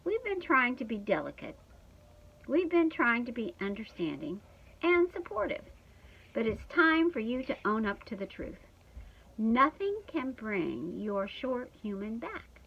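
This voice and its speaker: A woman plays a careful lady dog from a children's storybook, gently reproachful and hesitant. The delivery is measured and placating, in a fairly high female voice with a slightly muffled sound. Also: big pitch swings, vocal fry, husky, heavily acted. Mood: gently firm. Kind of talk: children's audiobook character voice.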